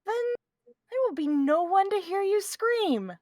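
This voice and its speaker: Woman doing tiny bossy villain guy, high voice all over the place, sneery, table read, dry sound. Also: expressive, a little slow, darkish tone, no echo. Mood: sly, menacing. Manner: sneering, theatrical. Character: tiny, scheming, imperious Sicilian man, furious and condescending.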